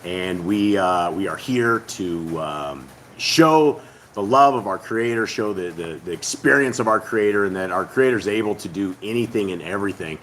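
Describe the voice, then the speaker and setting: A man hosting a family faith channel who speaks to viewers in a casual, earnest, rambling way, his voice hoarse and partly lost. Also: low-pitched, normal speed, fairly bright tone, a little hiss or hum. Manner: earnest, rambling, with many hesitations. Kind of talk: casual spoken address to viewers.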